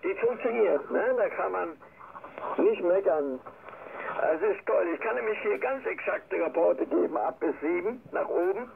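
A man's voice: sits high, expressive, normal speed, vocal fry. An older man from Germany chatting casually as an amateur radio operator over shortwave radio, often tagging his sentences with little questions. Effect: shortwave single-sideband radio. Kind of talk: casual ham radio chat. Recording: noisy narrowband shortwave radio reception. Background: radio static.

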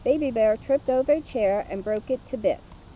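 Woman in her fifties, high mellow voice, storybook reader, casual, dull outdoor camera sound. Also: normal speed, a little hiss or hum. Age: 50s. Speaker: police officer reading to children. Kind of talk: storybook read-aloud and casual chat. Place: outdoors by a lake.

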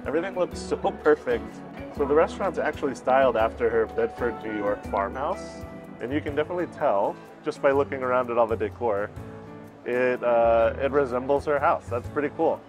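A man's voice: mid-range voice, a little fast, over music. A man in his thirties reviewing a restaurant meal, gushing about each dish, recorded on a lapel mic at a busy indoor restaurant. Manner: enthusiastic, gushing about food. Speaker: food vlogger.

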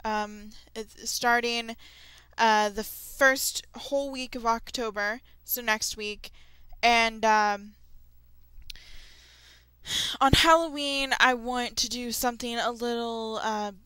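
Bright, high-pitched chatty young-sounding woman. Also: slow pace, smooth, dry recording. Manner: excited, informal. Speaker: creepypasta narrator and channel host. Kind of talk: casual chatty audio update to viewers.

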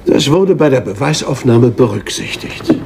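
An actor playing a formal, deliberate official who questions witnesses in a radio crime drama. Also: male voice, mid-range voice, expressive, creaky, darkish tone. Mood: formal, probing. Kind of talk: German detective radio drama acting.

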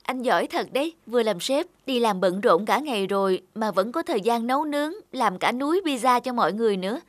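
A woman's voice: on the high side, expressive, fairly quick, no echo, clean studio sound. A woman playing an earnest, heartbroken young woman in a cartoon, speaking her thoughts with emotion. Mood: wistful. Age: young adult. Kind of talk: cartoon dialogue and inner monologue. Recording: music under the voice. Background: music.

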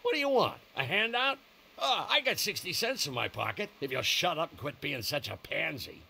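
An actor playing a bitter, hostile older father in a video game, barking insults in a gruff, raspy voice. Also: a little high, sing-song, normal speed, pushed and bright, a little hiss or hum, full character voice. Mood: scornful anger.

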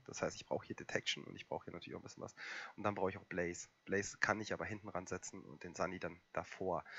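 A young man with a cold, hoarse and coughing, casually commentating a strategy game with lots of fillers. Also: fairly low pitch, dull audio. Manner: relaxed and rambling, many fillers. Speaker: gaming channel host.

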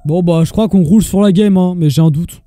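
High-voiced male streamer, mellow dark tone, quick jokey banter, dry close sound. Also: no echo, faint music behind. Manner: excitable, quick banter, joking. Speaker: streamer playing with friends. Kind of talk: casual gaming chat over voice chat.

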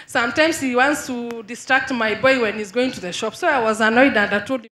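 A young woman from Kenya speaks with a slightly high voice. She is emotional and excitable, and keeps confirming what the preacher tells her. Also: brisk, reverberant. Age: young adult. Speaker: congregant.

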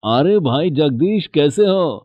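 Brief: hearty cartoon bangle trader, raised lively delivery, slow, dry and a bit dull-sounding. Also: male voice, fairly high pitch, no room sound. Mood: cheerful. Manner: hearty, chatty. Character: jolly middle-aged male bangle trader Nathulal.

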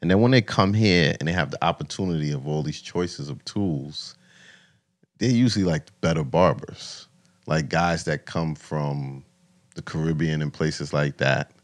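A man's voice: rumbling low, moderate pace. An American man in his thirties chatting casually as an interviewer on a podcast. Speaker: podcast host. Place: small podcast studio with acoustic foam. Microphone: close studio mic on boom arm.

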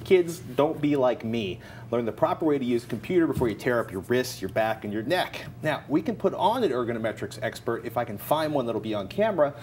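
A man's voice: mid-range voice, expressive, fairly quick, darkish tone, a little hiss or hum. A middle-aged American man co-hosting a tech show at a studio desk, presenting and giving advice casually.

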